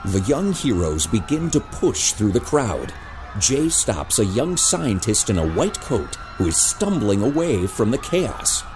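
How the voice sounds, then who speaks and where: A man's voice: medium pitch, very animated, measured pace. A man reading scripted narration for a superhero audio drama in a dramatic storytelling manner. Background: music.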